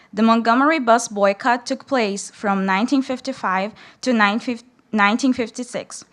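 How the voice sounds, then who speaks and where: A young woman from Brazil with a Brazilian accent, a native Portuguese speaker, speaking and reading aloud to an audience as a student. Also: on the high side. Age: young adult. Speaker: student reader at a public read-in.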